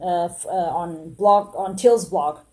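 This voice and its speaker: Mellow-voiced woman thinking aloud, halting. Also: fairly low pitch. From Portugal, born in Angola. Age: 30s. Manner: hesitant, many fillers and restarts, earnest and reflective. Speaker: vlogger. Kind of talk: spontaneous monologue to camera.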